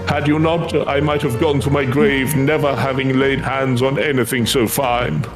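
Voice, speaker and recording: A man's voice: mid-range voice, flat delivery, moderate pace, natural voice. A man voicing a courtly, earnest fantasy craftsman, devout and grateful, speaking quest dialogue in formal, flowery phrasing. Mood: admiring, eager. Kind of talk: voiced reading of fantasy game quest dialogue. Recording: music behind the voice.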